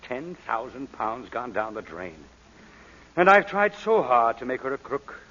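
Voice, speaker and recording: A courtly, wry gentleman thief in a vintage radio drama. His speech is polished and theatrical with expressive pitch, heard through a dull recording with long reverb. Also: a little slow, a little hiss or hum. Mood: rueful, admiring. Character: suave, philosophical gentleman crook, wry and courtly. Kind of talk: 1940s radio acting.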